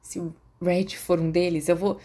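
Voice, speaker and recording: A woman's voice: on the low side, level tone, normal speed, warm tone, close to an everyday voice. A woman voicing a brooding, defiant teenage boy in an audiobook story. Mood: grim, defiant.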